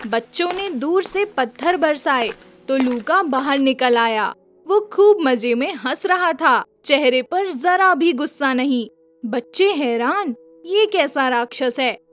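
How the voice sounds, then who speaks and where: Casting: female children's-story narrator, high voice, unhurried delivery, soft music bed. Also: lively intonation.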